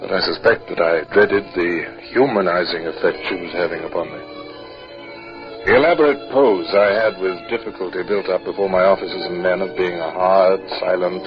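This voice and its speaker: An actor playing a reserved, courteous middle-aged naval captain, formal and a little hesitant, in an old radio drama. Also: male voice, sits low, a little fast, music in the background, close to an everyday voice. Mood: reflective, wistful. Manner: formal, hesitant when speaking personally. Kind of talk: old-time radio drama acting and narration. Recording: muffled, noisy 1950s radio recording.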